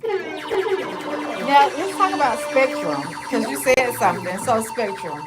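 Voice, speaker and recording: A woman's voice: high voice, animated, takes it slowly, loud music behind the voice. Chatty woman hosting a livestream. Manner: chatty, asks questions and reads comments. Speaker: livestream host. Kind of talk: casual livestream interview.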